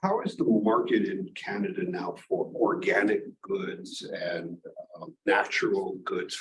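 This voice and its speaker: Casting note: male moderator, mid-range voice with very lively pitch, light faint background noise. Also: a little slow, rough recording. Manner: lively, joking questioner. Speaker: seminar moderator. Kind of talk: moderating questions.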